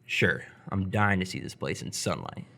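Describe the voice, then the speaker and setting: A man's voice: low-pitched, lively intonation, moderate pace, gravelly, pushed and bright, full character voice. An actor in live radio theatre playing an awkward, earnest seventeen-year-old boy, hesitant and curious.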